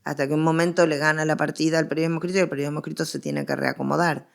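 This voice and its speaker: Middle-aged Argentine woman, deep gravelly register, emphatic academic interviewee who explains conversationally. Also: flat delivery, racing. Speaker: guest historian interviewee.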